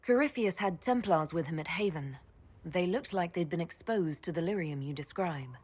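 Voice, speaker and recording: An actress playing a composed, dutiful elf mage leader in a video game, speaking in a measured, dry, questioning way. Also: female voice, on the low side, animated, a little mellow, breathy edge. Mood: weary, serious. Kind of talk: video game dialogue acting.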